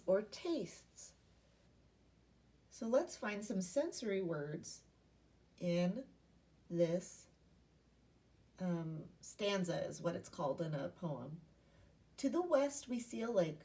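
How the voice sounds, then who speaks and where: A woman's voice: fairly low pitch, darkish tone, far from the mic. A woman teacher giving a read-aloud lesson to young children, speaking in simple instructional phrases and asking questions. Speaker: primary school teacher.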